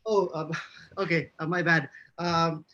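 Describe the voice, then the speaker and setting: A man's voice: a little high, steady tone, measured pace, pushed and bright, dull audio. A man with a Nepali accent, a journalist answering on a conference panel, stumbling through restarts and fillers. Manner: hesitant, many restarts and fillers.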